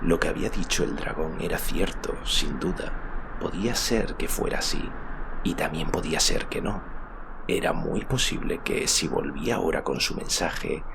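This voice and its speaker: A man's voice: extremely low pitch, moderate pace, creaky, background noise. A man narrating a literary fantasy audiobook from a script. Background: prominent music.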